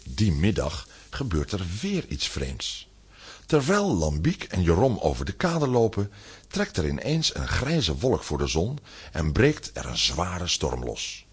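A man's voice: deep voice, huge pitch swings, measured pace, breathy edge. A man narrating a scripted children's story as the narrator of an audio drama.

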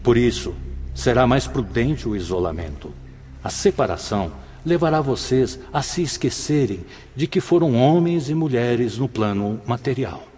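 A man's voice: mid-range voice, lively intonation, moderate pace, a little mellow, slightly rough. An actor playing a fatherly, solemn spirit mentor who teaches formally and measuredly in a radio drama. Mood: solemn, instructive. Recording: long reverb, music behind.

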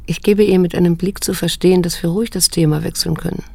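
An actress playing a wary, wounded daughter of about sixty in a radio drama, speaking in a measured, restrained way. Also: female voice, steady tone, darkish tone, no room sound. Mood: reflective, restrained. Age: 60s. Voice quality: husky, low, creaky. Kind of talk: German radio drama dialogue and first-person narration.

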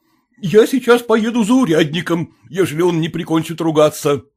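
A man voicing an irritable, pompous gentleman husband who blusters at peasants. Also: a little high, expressive, normal speed, husky. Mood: indignant. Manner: indignant, blustering.

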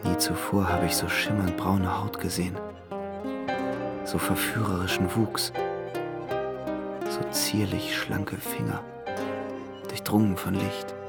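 Radio drama: dreamy young romantic, low-key narrator, very gravelly fry, music underneath. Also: male voice, little pitch movement, takes it slowly, long pauses, full character voice. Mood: enchanted. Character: dreamy young man recalling his journey, quietly infatuated. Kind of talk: literary radio play, present-tense first-person narration.

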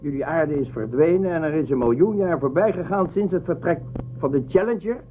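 Man acting a bombastic male warlord, sci-fi radio play, slightly high voice, animated, narrow-band audio. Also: fairly quick, close to an everyday voice. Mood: blustering. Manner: bombastic, gruff, swearing. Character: blustering, suspicious male moon warlord and self-styled emperor. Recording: band-limited radio play audio, faint music behind.

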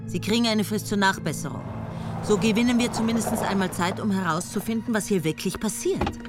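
An actress playing a determined police chief in a radio drama, narrating in first person with a brisk, probing manner. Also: female voice, medium pitch, over music, natural voice. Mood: calm. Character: determined female police chief, sharp and commanding.

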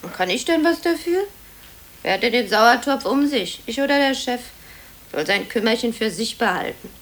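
An actress playing a sharp-tongued, gossipy office typist, snappish and chatty, in a 1950s German radio drama. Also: female voice, on the high side, lively intonation, moderate pace. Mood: complaining. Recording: old cassette radio recording, some hiss.

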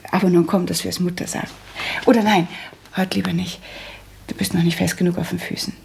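An actress playing a prim, practical and resolute young woman in a German radio drama, speaking firmly with a husky, creaky voice. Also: fairly low pitch, level tone, fast talker, a little mellow, a little hiss or hum. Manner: firm, matter-of-fact.

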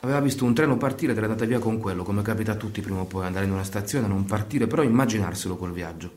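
An actor playing a storyteller, narrating a scripted radio drama in flowing, list-like phrases. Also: male voice, fairly low pitch, racing, darkish tone, close to an everyday voice. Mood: measured storytelling. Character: male storyteller narrating life in a war-torn town.

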